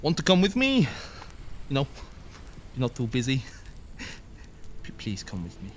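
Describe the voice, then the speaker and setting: A man's voice: mid-range voice, huge pitch swings, unhurried, slight background noise. An actor playing a cowardly, boastful Viking husband in a comic game cutscene, stammering through his bluster. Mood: sheepish, boastful.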